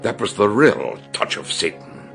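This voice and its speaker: An eccentric, learned older British detective in a radio play. His low voice creaks and swings dramatically up and down as he muses and exclaims in old-fashioned phrases. Faint music sits behind him. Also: very animated, unhurried, a bit bright, full character voice. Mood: grave, musing. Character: eccentric older learned male detective doctor, erudite and theatrical.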